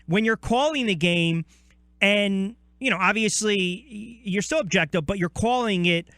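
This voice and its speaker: A man's voice: sits high, moderate pace, bright, forceful tone, no echo. A man with an American accent hosting a sports radio interview, chatty, with long rambling questions that restart midway.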